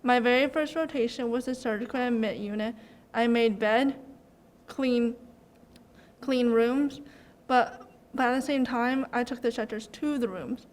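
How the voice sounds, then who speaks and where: Higher-voiced young woman in a reverberant room. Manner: earnest, repeats words. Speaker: program intern. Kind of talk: prepared self-introduction.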